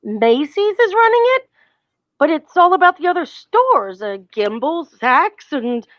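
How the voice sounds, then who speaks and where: A woman's voice: high-pitched, very animated, unhurried, dry recording, dull audio. A woman acting a harried, sensible department store executive in a 1940s-style radio play, earnest and exasperated. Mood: puzzled.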